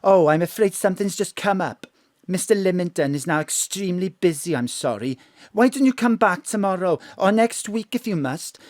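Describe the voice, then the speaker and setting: A woman's voice: on the low side, expressive, moderate pace, a little mellow, dry recording, studio quality, pitched below the performer's own voice, close to an everyday voice. A woman voicing a fawning, snooty young male receptionist in an audio drama, gushing and over-polite. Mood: snooty, evasive.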